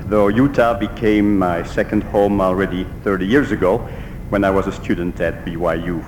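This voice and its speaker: A middle-aged man whose native tongue is Dutch speaks with a Belgian accent, delivering a measured talk to a congregation. His voice sits a little low and carries a long reverb from the hall, with a faint rumble underneath. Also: a little mellow. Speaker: church speaker.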